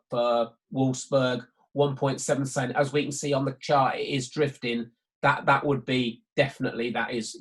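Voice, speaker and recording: A man's voice: mid-range voice, rough recording. Hesitant man talking through live trading. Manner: hesitant, repetitive, thinking aloud. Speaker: sports betting trading tutor. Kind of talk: live market walkthrough commentary. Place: online conference call.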